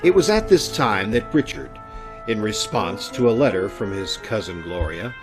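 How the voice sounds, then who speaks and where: A man playing a mysterious storyteller, narrating a radio drama in a measured, dramatic way. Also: on the low side, expressive, close to an everyday voice. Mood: ominous. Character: mysterious male storyteller narrating an eerie tale. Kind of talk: old-time radio scripted narration. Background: music.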